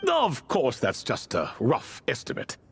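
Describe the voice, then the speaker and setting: An actor playing a proud, wistful and wryly smug man in a video game, speaking in an unhurried, theatrical way. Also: medium pitch, wildly swooping pitch, vocal fry, full character voice. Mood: amused boasting. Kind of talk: dramatic video game voice acting. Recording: music under the voice.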